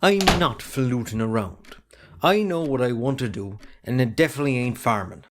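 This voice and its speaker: A man's voice: medium pitch, lively intonation, moderate pace, noisy background, close to an everyday voice. A man playing a put-upon young farmer's son in an amateur drama, defensive and grumbling, with an Irish accent. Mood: stubborn, irritated. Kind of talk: amateur stage drama acting.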